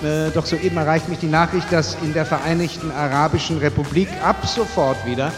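An actor playing a jovial, pompous master of ceremonies, making grand, formal stage announcements in a radio play. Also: male voice, a little high, steady tone, a little fast, close to an everyday voice. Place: large club hall with audience. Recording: echoing hall PA with music, crowd.

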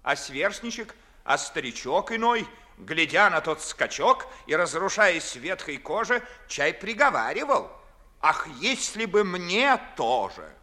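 An actor playing a sardonic, ardent freethinking gentleman, declaiming verse in a mocking, oratorical manner in a 1950s radio play. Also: high voice, big pitch swings, measured pace, full character voice. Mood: mocking, impassioned. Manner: mocking, oratorical, crisply phrased.

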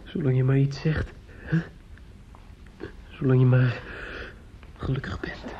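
A man's voice: medium pitch, little pitch movement, takes it slowly, lightly acted. An actor playing a grief-stricken, restless young man in a radio play, stammering and repeating himself, often dropping to a whisper. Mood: tearful, affectionate. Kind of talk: intimate radio play acting. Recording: muffled, noisy old radio drama recording.

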